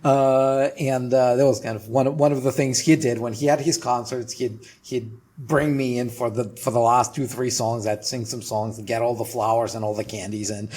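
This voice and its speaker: Russian-accented guy in his 40s, husky voice, casual podcast guest, lots of restarts. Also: medium pitch, fairly even intonation, moderate pace, few pauses, a little mellow. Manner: storytelling, frequent restarts and fillers. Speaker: guest, trademark attorney.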